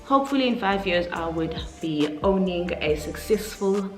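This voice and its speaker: A woman's voice: mid-range voice, animated, moderate pace, a little mellow. A South African woman aged twenty-nine chatting casually and informally in a question-and-answer vlog, thinking aloud as she goes. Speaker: YouTuber, vlogger. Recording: roomy echo, faint music underneath.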